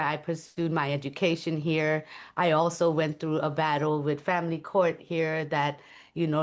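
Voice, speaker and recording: A woman from Thailand, an interview guest and musician, speaking earnestly and reflectively about her life. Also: low-pitched. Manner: earnest, reflective, with soft laughs. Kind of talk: conversational interview.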